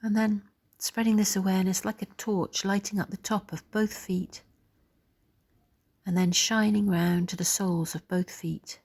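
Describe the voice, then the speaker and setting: A woman leading a guided body scan meditation in a soft, gentle, soothing way, pausing between phrases. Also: mid-range voice, fairly even intonation, vocal fry, husky.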